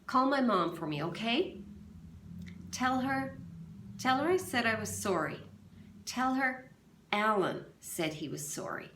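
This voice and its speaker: A woman's voice: fairly high pitch, expressive, a little slow, pauses often, a bit bright, echoey room, a little hiss or hum, voice pushed up. A woman reading aloud, voicing a tough teenage boy at a juvenile camp who urgently asks a favor. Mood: pleading.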